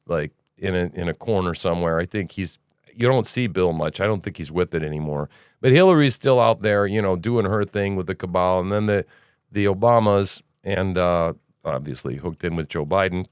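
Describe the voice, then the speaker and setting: A man's voice: fairly low pitch, clean tone, dry recording, clean studio sound. A man hosting a casual news commentary podcast, rambling and opinionated, with sarcastic asides. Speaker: podcast host.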